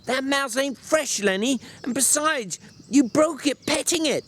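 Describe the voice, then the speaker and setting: A man's voice: very high voice, unhurried, a bit bright, voice pushed up. A man voicing an irritable, bossy but protective working man, brusque and scolding, in a dramatic audiobook reading. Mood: exasperated.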